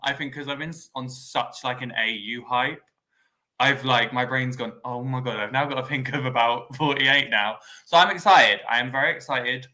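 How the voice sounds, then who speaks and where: A man co-hosts a podcast in a very bright, sharp voice at a middling pitch. He talks excitably, with plenty of "like" and quick restarts. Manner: excitable, filler-heavy, lots of "like". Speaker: podcast co-host. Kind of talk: casual chat.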